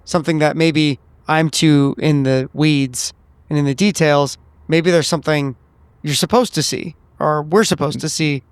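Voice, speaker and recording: Earnest guy player in a tabletop rpg, slightly high smooth voice, deliberate, dry audio. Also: steady tone, no echo. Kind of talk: describing his character's actions.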